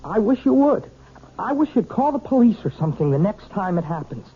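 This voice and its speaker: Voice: mid-range voice, big pitch swings, brisk, slight background noise. An actor playing an earnest, worried twenty-year-old male piano student with an American accent, boyish and hesitant, in radio drama. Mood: concerned, insistent. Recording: muffled vintage radio recording.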